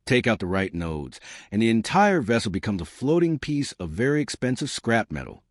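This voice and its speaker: A man's voice: on the low side, big pitch swings, moderate pace, dry recording, studio quality. A man voicing a small, fierce woman starship captain in a sci-fi story, confident and sarcastic. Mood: cocky.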